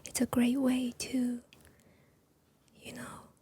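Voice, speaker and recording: Woman whispering asmr real slow, breathy airy voice, chinese speaker, lots of little pauses. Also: fairly high pitch, completely flat delivery. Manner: soft unvoiced whisper with many pauses and fillers. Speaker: ASMR creator.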